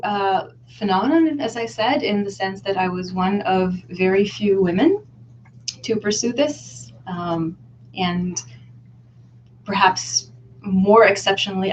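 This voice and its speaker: A woman, a guest scholar in an interview, speaking thoughtfully and pausing to find her words. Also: medium pitch, slight background noise. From Israel. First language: Hebrew. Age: 30s. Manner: thoughtful, reflective, pauses to search for words. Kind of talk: casual interview. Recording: lo-fi online video call.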